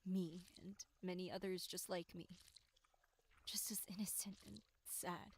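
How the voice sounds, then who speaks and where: A woman voicing a tormented, despairing victim in a horror game monologue, with breathy delivery that slips into whispers. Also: lowish voice, flat delivery, a little slow, a little hiss or hum. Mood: bitter despair. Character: tormented, despairing young victim musing bleakly on existence. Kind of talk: dramatic first-person horror game monologue.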